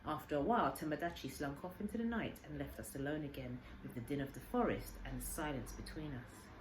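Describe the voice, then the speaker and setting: A woman teacher reading a children's story aloud with expressive narration. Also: sits low, fast. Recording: echoey room, faint background noise.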